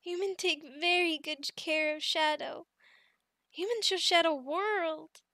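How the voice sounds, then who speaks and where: A woman playing a sweet, shy young adult female goblin in an ASMR roleplay, speaking in halting broken phrases and calling herself by name. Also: very high-pitched, pushed and bright, no echo, studio quality, full character voice. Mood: proud, affectionate. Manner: halting broken speech, refers to herself in third person, giggly.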